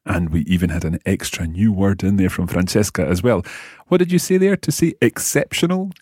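Male language teacher, low husky voice, lively intonation, dry close sound. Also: dry recording. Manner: slow, patient prompting with pauses. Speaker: language course host. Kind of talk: guiding a listening lesson.

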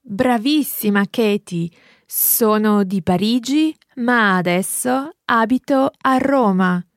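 A woman tutoring a language lesson, modelling phrases slowly and carefully and praising the learner. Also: fairly high pitch, no room sound, studio quality. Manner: slow, careful, encouraging pronunciation. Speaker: native-speaker language tutor.

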